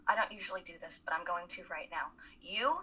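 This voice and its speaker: A woman's voice: on the low side, brisk, pushed and bright, a little hiss or hum. A young woman playing a caring, worried and insistent college student in a dramatic play reading. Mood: exasperated, firm. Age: young adult. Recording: muffled, telephone-like remote call audio.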